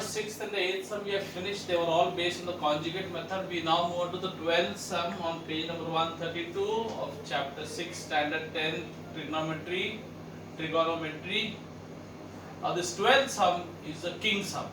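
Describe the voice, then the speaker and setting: A man's voice: sits high, far from the mic. A man teaching a math lesson, walking students through each step with repeated questions. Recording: echoey room, voice set back from the mic, background noise.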